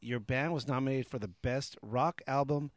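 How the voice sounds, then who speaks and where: A man's voice: mid-range voice, normal speed, no echo, studio quality. A man hosting a talk show, interviewing guests and callers in a casual radio style.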